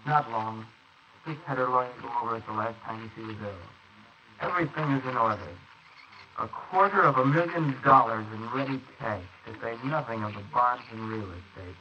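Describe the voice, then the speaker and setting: A man's voice: medium pitch, moderate pace, close to an everyday voice. An actor playing a nervous, guilty young man mixed up in murder, in a 1940s radio drama style on an old recording. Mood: calmer, reassured. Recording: noisy muffled 1948 wire recording of transcription discs.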